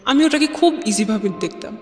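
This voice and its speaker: A woman with a Bangladeshi accent and a high voice recounts personal experiences as a guest. She speaks haltingly and circles back over phrases, dropping in English words. The sound is echoey and reverberant, with faint music underneath. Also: expressive. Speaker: guest telling own life story. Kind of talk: personal storytelling in interview.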